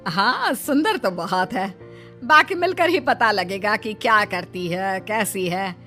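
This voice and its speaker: A woman's voice: mid-range voice, animated, slow, pushed and bright, soft background music. A woman playing a fretful older mother-in-law. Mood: chatty. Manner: fretful, chatty. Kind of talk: cartoon voice acting.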